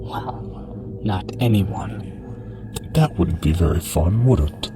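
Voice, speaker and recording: A man playing a sly, polite monster who offers deadly bargains in a coaxing, smooth-talking horror audio drama delivery. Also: sits low, lively intonation, slow pace, noisy background. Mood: slyly amused. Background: music.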